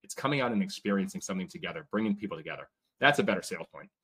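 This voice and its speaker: A man talking as an interview guest, telling stories with energy and growing excited. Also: sits low, rapid-fire, slightly rough. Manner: energetic, animated storytelling. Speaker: guest, sports team owner. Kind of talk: casual interview talk.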